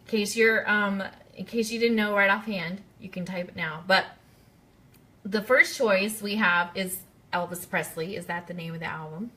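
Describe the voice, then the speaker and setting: Bright-voiced woman hosting a live quiz. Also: mid-range voice, moderate pace. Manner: chatty, interactive, addresses listeners directly. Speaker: radio show host.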